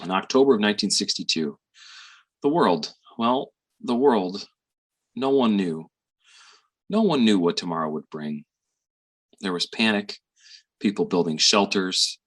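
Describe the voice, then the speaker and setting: Man acting weary middle-aged father, deep husky voice, tender, reflective, halting stage drama delivery. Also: expressive. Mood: somber, reflective. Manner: reflective, halting with sighs and pauses.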